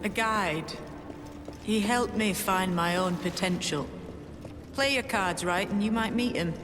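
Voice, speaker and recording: An actress playing a secretive, canny young pirate in a video game, speaking in a knowing, plain sailor's manner. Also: female voice, mid-range voice, measured pace, over music, close to an everyday voice. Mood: guarded. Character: young pirate mate, secretive, canny, teasing mentor figure. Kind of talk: video game cutscene acting.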